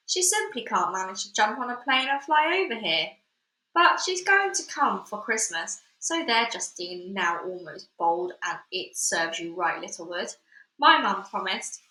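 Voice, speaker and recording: A woman reading a children's book aloud in an expressive way, with chatty asides full of fillers. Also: medium pitch. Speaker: storybook reader. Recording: echoey room.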